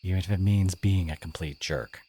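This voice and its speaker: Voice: low voice, brisk, dark tone, slight background noise, lightly acted. An actor playing a brash teenage school bully who secretly cares, in an exasperated, confrontational audio drama monologue addressed to the listener. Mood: bitter, wounded. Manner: exasperated, confrontational, sighing, emphatic.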